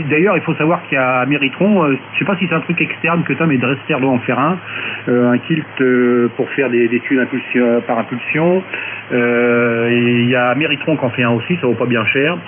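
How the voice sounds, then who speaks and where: A man in a casual, technical amateur radio chat, colloquial and matter-of-fact with hesitations, over a narrow, noisy shortwave link. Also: mid-range voice.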